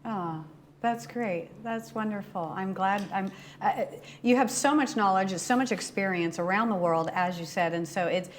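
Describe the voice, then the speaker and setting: Woman hosting a local tv interview, friendly and encouraging, medium pitch. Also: moderate pace, a little hiss or hum. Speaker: community TV host.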